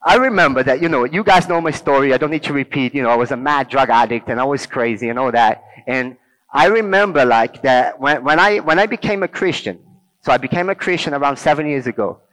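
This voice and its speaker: A middle-aged Brazilian man gives a conversational teaching talk at church. His English carries a Brazilian accent, and his pitch sits in a middle range. He keeps asking the group whether they follow him. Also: lively intonation, brisk, fairly bright tone, poor audio. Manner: conversational, checks in with listeners often.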